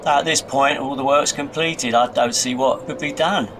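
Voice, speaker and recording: An actor playing a polite, chirpy, somewhat awkward railway station worker in an audio drama, hesitant in manner. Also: male voice, mid-range voice, fairly even intonation, moderate pace, lightly acted. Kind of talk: naturalistic audio drama acting. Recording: clear station ambience and train noise.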